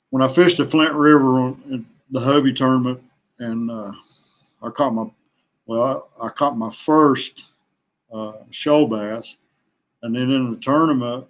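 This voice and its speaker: A man from West Virginia chatting casually as a podcast guest, plain-spoken and halting, in no hurry. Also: mid-range voice. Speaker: podcast guest, veteran kayak angler. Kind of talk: casual chat.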